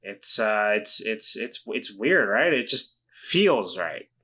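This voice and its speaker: A man's voice: fairly low pitch, sing-song, slow, sharp tone, slightly rough, voice pushed down, exaggerated voice. A man playing an uneasy, evasive character turning into an animal, stammering and repeating himself in a tabletop roleplaying game. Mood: hesitant, unsettled. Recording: faint guitar music behind the voice.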